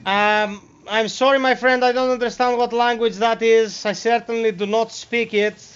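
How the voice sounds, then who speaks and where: A man with a Greek accent, a native Greek speaker, giving friendly, relaxed gameplay commentary as a streamer. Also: very high voice, moderate pace, smooth. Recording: slightly muffled stream mic.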